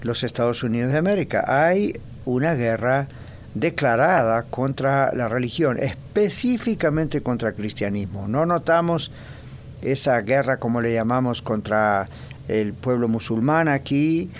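Male pastor-host at mid pitch, inviting, steady, faint noise under the voice. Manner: warm inviting, repeats details slowly. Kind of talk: radio announcements and talk. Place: radio studio in a church.

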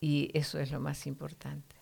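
A woman's voice: deep voice, little pitch movement, normal speed, gravelly, warm tone, slightly rough. A middle-aged woman giving devotional teaching in conversation, didactic and often checking for agreement with short tag questions.

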